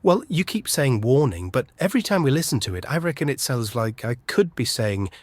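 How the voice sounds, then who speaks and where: An easily baffled, earnest man in an audio drama. His mid-pitched voice moves around a lot. He is hesitant and a bit slow on the uptake. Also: sing-song, dry recording. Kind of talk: naturalistic audio drama acting.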